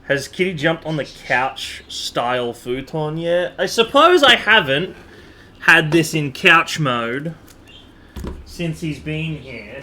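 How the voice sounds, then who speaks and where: A man's voice: fairly high pitch, bright, forceful tone, a little hiss or hum. A man chatting casually as he streams a game from a room at home. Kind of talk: casual live stream chat. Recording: roomy, echoey stream with faint music. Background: faint game music.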